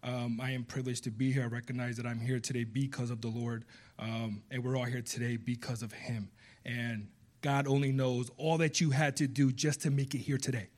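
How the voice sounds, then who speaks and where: A man's voice: mid-range voice, little pitch movement, a little fast, a little mellow. A man from Puerto Rico with a slight Puerto Rican Spanish accent, preaching a heartfelt sermon as a guest preacher. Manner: earnest, heartfelt, builds up emphatically.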